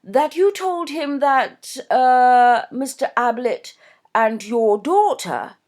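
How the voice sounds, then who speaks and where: Casting: courteous young gentleman sleuth, calm and measured, voiced by a woman. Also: high-pitched, voice pushed up. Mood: polite. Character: polite, cautious young gentleman investigator.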